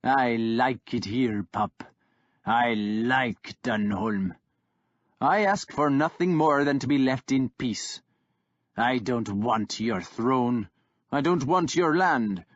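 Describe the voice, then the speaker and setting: A man voicing a scornful older male warlord who taunts slowly and snarls. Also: mid-range voice, dry recording, lo-fi sound, natural voice. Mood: scornful taunting. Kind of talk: audiobook character voice.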